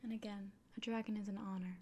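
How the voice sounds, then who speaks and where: A kindly elven innkeeper played by a woman speaks in a soft, breathy whisper in an ASMR fantasy roleplay. She is earnest and reassuring, keeping an even, narrow melody as she explains things unhurriedly. A faint ambient noise sits behind her. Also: medium pitch, fast talker, close to an everyday voice. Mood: gentle, reverent.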